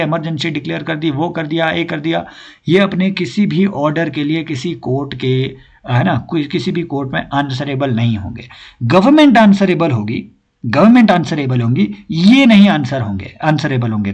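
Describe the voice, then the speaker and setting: Hoarse young male lecturer, slightly high voice, drills points slowly, keeps checking in with students. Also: muffled recording. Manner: explains slowly, repeats key points, checks with listeners; mixes Hindi with slow English phrases. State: hoarse from a sore throat. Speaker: exam prep teacher. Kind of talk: recorded lecture.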